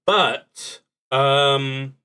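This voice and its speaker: Slow, halting man thinking aloud. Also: medium pitch, bright, forceful tone, clear, resonant tone. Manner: hesitant, halting, reflective. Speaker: streamer playing solo tabletop roleplaying games. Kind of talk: unscripted play-through commentary, thinking aloud.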